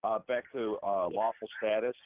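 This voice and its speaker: Low-voiced man on a phone line. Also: fairly even intonation, moderate pace. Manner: polite, agreeable. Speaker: call participant asking questions. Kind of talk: conference call questions and replies. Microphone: telephone. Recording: dry, narrow phone conference line.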